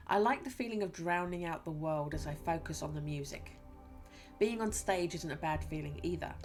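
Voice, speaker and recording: A woman's voice: fairly low pitch, lightly acted. Woman reading a cold, fear-hungry musician. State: stuffy nose from a cold.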